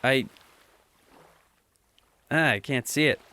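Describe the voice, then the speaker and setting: A man's voice: mid-range voice, slow pace, sharp tone, slight background noise. A young man playing an earnest, bookish, smitten teenage boy in an audio drama, playfully pedantic and slightly hesitant. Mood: shy, smitten.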